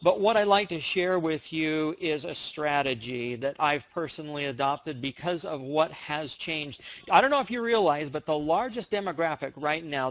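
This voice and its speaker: An American man presenting on a conference call, giving an enthusiastic, emphatic motivational sales pitch. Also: a bit bright, rough recording. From United States.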